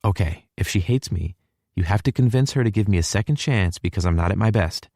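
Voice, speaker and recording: A man's voice: fairly low pitch, lively intonation, a little fast, dark tone, no echo, clean studio sound, voice pushed up. A man voicing a flustered, teasing young woman who is feeling sick, in an audiobook story.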